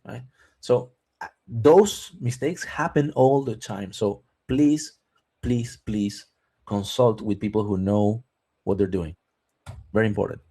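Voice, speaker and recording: A man's voice: medium pitch, darkish tone, slightly rough. A man hosts a live webinar, speaking in a mid-range voice. He reads each viewer question aloud, then explains the answer in a loose, conversational way. He keeps checking in with his audience as he goes. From Argentina. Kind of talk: live webinar Q&A on visas and taxes.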